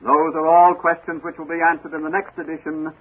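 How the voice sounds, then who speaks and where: A man's voice: a little high, level tone, a little fast, heavy background noise, close to an everyday voice. A man playing a dramatic, suspenseful announcer narrating a 1940s radio serial. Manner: dramatic, declamatory. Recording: tinny 1940s radio recording with music.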